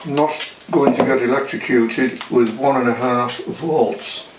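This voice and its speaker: A man talks through a hands-on job step by step in a plain, matter-of-fact manner. His pace is slow and his pitch sits in the middle range for a man. There is some faint noise behind his casual demonstration. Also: steady tone. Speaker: DIY demonstrator.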